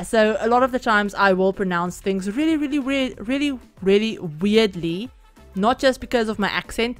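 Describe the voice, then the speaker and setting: Chatty Afrikaans-speaking woman, rambling voiceover. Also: medium pitch. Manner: rambling, chatty, many "like" and "yeah" fillers. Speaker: Sims speed-build YouTuber. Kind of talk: casual game voiceover. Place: home, at night.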